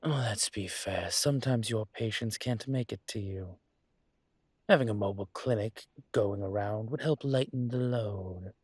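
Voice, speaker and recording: A man voicing a calm, courteous dragon mafia boss in a roleplay audio, speaking in a husky, measured, polite way. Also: lowish voice, no room sound. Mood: calm, assured. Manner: measured, polite, deliberate with pauses. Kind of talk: scripted roleplay audio monologue to listener.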